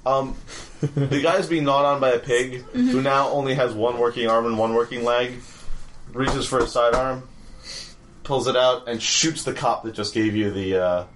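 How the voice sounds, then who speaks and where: A man's voice: mid-range voice, normal speed, a bit bright, a little hiss or hum, poor audio. A man running a tabletop game as game master, narrating with lots of restarts and fillers and laughing at his own descriptions.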